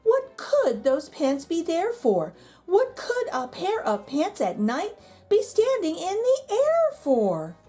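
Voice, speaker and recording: A woman's voice: extremely high pitch, wildly swooping pitch, slow pace, warm tone, soft background music, pitched above the performer's own voice, heavily acted. A woman voicing a jittery, easily frightened picture-book narrator, telling a rhyming tale with suspenseful, dramatic emphasis. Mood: uneasy suspense.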